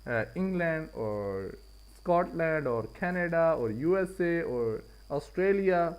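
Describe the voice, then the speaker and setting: A man with a Pakistani accent and a slightly high voice talks as the host of a live stream. He gives casual trading commentary, often circling back to say a point again in slightly different words for emphasis. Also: animated, takes it slowly, clear, resonant tone, lo-fi sound. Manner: repeats and rephrases points for emphasis.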